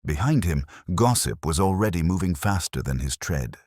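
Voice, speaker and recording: A man narrating a literary mystery story in a measured, formal way. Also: deep voice, sing-song, darkish tone, no echo, clean studio sound.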